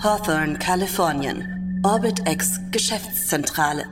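A cool, detached scene announcer for a space radio drama. She speaks slowly in a lowish voice, her words drawn out under a long reverb tail with loud music behind her. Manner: slow, measured, detached.

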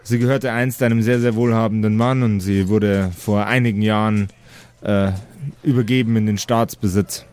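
A man's voice: fairly low pitch, fairly even intonation, moderate pace, darkish tone, clean tone. Male game master narrating. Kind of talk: improvised tabletop game narration.

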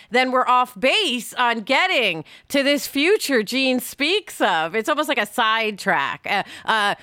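A woman talking casually and candidly as a podcast host, animated, acting out remarks as she recalls them. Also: high-pitched, smooth, no echo, clean studio sound. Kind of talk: casual conversational discussion.

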